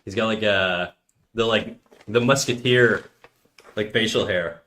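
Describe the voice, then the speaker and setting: Thirty-year-old guy streaming, super casual and slangy, kinda sharp bright tone, answers chat. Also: fairly low pitch, unhurried. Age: 30s. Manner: slangy, rambling, reacts to chat messages. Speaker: live streamer.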